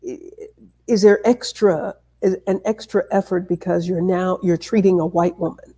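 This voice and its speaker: A woman hosting an interview, asking questions in halting, thoughtful phrases. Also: on the low side, fairly even intonation, mellow, husky. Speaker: interview host. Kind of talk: conversational interviewing.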